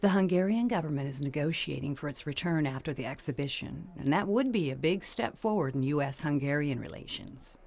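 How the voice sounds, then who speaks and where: An actress playing a brassy, coaxing middle-aged ex-performer with slangy talk in a noir radio drama. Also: female voice, low voice, expressive, brisk, gravelly, hardly any pauses, a little mellow, exaggerated voice. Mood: brisk. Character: Julie, worldly older female ex-performer, brassy, motherly pal. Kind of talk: 1950s-style noir radio drama acting.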